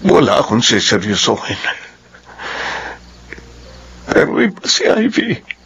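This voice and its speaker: An actor playing a remorseful, heartbroken young lover in a radio drama, earnest and anguished. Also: male voice, mid-range voice, sing-song, normal speed, vocal fry, fairly bright tone, slightly rough, heavily acted. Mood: anguished, confessing.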